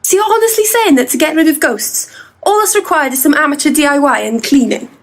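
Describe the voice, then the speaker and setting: An actress playing a sceptical, exasperated observer of ghost hunters in a comedy audio drama, incredulous and pointed. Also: female voice, high voice, expressive, fairly quick, slight background noise.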